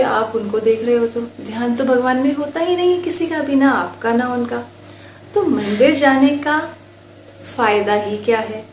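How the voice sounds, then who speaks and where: A woman's voice: high-pitched, darkish tone. An Indian woman telling a moral story to listeners in a conversational way, mixing in English words. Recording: roomy echo with faint background noise.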